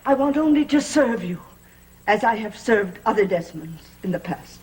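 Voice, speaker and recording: An actress playing a devoted, scheming manor servant with a solemn, formal, submissive way of speaking, in a gothic soap. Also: female voice, mid-range voice, expressive, moderate pace, darkish tone, lightly acted. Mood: contrite. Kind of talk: 1960s gothic soap opera acting. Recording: old TV soundtrack with background score.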